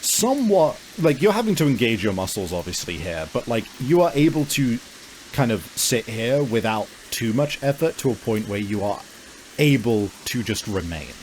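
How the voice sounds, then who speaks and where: A man improvising scene descriptions as a game master, halting as he searches for words. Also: medium pitch, very animated, darkish tone, slight background noise, poor audio. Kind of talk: improvised tabletop game narration.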